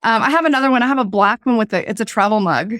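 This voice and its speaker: A woman with a fairly high voice hosts a relaxed podcast chat, talking easily while her voice is starting to go. She sounds close and dry on her own desk microphone at home. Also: very fast, dry recording. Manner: laid-back, chatty. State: losing her voice. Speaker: podcast host. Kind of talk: casual chat. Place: home room with blinds closed.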